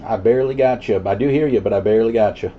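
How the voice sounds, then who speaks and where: A man's voice: on the low side, fast talker. Man on muffled CB radio. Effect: CB radio transmission. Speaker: CB radio operator. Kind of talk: casual chat over CB radio. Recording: muffled CB radio audio with static. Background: radio static.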